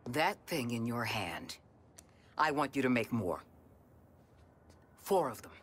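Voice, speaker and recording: A scheming game villain with a forceful, bright voice and lively intonation. He is curt and commanding, with faint noise behind. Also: medium pitch, takes it slowly. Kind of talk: video game character acting.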